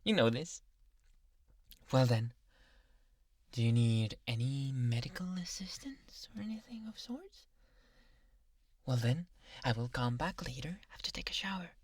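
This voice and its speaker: A man voicing a possessive, obsessive yandere doctor in an anime ASMR boyfriend roleplay, speaking softly and coaxingly, often in whispers. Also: mid-range voice, lively intonation, measured pace, long pauses. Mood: obsessive, adoring. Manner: soft, intimate, coaxing, often dropping into whispers. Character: possessive, obsessive male yandere doctor, sweetly menacing lover. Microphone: close microphone.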